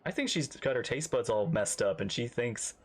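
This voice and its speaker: Casual man reacting, medium pitch. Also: expressive, normal speed. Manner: slangy, empathetic. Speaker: reaction video host. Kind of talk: casual, off-the-cuff reaction commentary.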